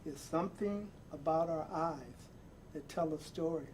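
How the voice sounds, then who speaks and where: A man reading a picture book aloud in an emphatic, deliberate way, repeating phrases for weight and adding his own reflective asides. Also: fairly high pitch, darkish tone, a little hiss or hum. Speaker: storybook reader and presenter.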